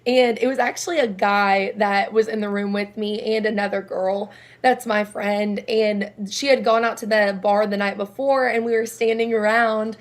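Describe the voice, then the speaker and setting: A young woman singer with a low, raspy voice, chatting casually and laughing often as an interview guest. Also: fairly even intonation, normal speed, hardly any pauses. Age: young adult.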